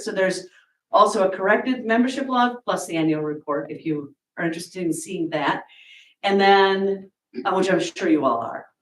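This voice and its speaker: A woman with a loud, jokey delivery, making an announcement to a congregation. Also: on the low side. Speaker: church member making an announcement. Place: church sanctuary. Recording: roomy church sanctuary from a distance.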